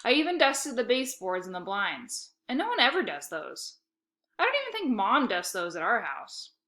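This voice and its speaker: A woman reading a children's story aloud in an expressive, emphatic narrating style. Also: fairly high pitch, moderate pace. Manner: animated, emphatic dialogue.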